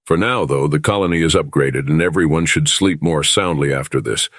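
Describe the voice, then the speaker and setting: Deep-voiced starship captain, measured man acting. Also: fairly bright tone, husky, dry recording, studio quality, heavily acted. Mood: composed. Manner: measured, reasonable, conciliatory. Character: calm diplomatic male starship captain. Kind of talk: audio drama acting.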